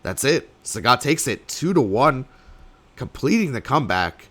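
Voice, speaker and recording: A young man, slightly sick and losing his voice, casting a card game tournament alone in rambling play-by-play full of fillers, with sudden bursts of excitement. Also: mid-range voice, normal speed. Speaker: tournament caster. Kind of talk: solo play-by-play commentary.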